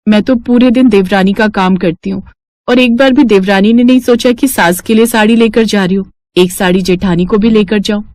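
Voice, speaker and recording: A woman's voice: mid-range voice, fairly even intonation, a little fast, a little mellow, no echo, lightly acted. Woman voicing an overworked, plaintive young housewife. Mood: aggrieved. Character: overworked, long-suffering young housewife and mother.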